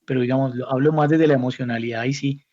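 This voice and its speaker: A man's voice: mid-range voice, steady tone, fast talker, warm tone. A Colombian man speaking as an academic guest in an interview, thinking aloud reflectively and often repeating words as he searches for the next one. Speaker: academic guest, institute director. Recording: slightly dull, muffled audio.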